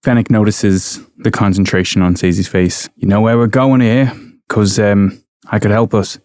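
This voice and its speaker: A man's voice: on the low side, steady tone, normal speed, darkish tone, no echo. A man playing a tabletop game, switching between narration and his character's dialogue, close on the microphone.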